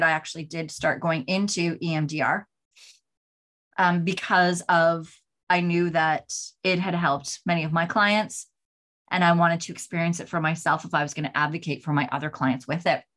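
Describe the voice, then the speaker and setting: A woman in her forties hosting a podcast, talking candidly in a rambling way, with many restarts and a pace that swings between slow and hurried. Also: fairly low pitch, a bit bright. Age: 40s. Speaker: podcast host. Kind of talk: casual solo podcast talk.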